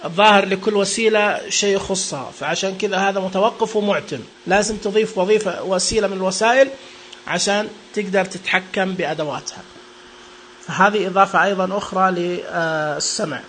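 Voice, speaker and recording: A young man talks through phone settings in a quick, chatty way, joking as he goes. His voice sits high and his pace runs fast. A faint noise floor hums under the recording. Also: steady tone, fairly bright tone. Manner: chatty and joking, repeats what the screen reader says. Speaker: tech reviewer demonstrating phone accessibility settings. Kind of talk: casual spoken walkthrough.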